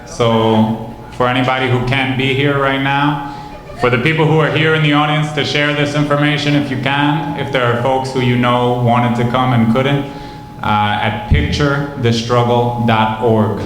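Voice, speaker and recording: A man's voice rings through a very echoey hall with faint noise. He is an MC making announcements at a live event, measured and matter-of-fact. His intonation stays quite flat. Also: mid-range voice. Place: large indoor hall, tribute event.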